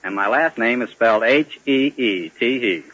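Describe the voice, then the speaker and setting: A man's voice: mid-range voice, normal speed, a bit bright, no echo. A man giving earnest, formal, scripted answers as an interview guest on a dull-sounding 1940 radio broadcast. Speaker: guest, animation film director. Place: radio studio.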